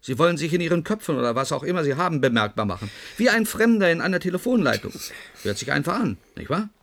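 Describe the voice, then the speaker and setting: An actor playing a stern, sceptical doctor in charge, imperious and probing, in a German radio drama. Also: male voice, fairly high pitch, very animated, fast talker, a bit bright. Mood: sceptical, sardonic.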